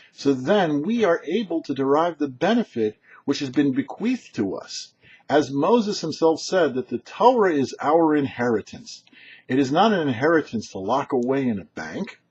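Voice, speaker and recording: A man's voice: medium pitch, darkish tone, breathy edge, dull audio. A man speaking as a rabbi, giving a short explanatory Torah teaching with emphatic, didactic phrasing. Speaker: rabbi hosting a weekly Torah commentary show.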